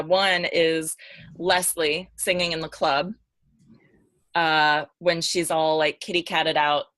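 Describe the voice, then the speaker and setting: A woman's voice: low-pitched, fairly bright tone, dry recording. A woman talking informally as a podcast panelist, thinking aloud and searching for her words as she goes. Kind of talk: casual unscripted discussion.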